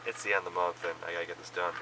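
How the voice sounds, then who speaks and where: A man with a cold, hoarse and coughing, casually rambling through a recap of the films and shows he watched. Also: low voice, flat delivery, rapid-fire, distant mic, noisy background. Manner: rambling, many fillers and restarts. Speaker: vlogger reviewing films and shows.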